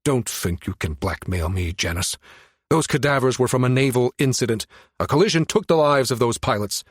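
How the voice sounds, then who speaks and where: Audiobook role: older scientist, male, played by a male narrator, blustering, stern, demanding, quick to take offence. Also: mid-range voice, expressive, no echo, studio quality, natural voice.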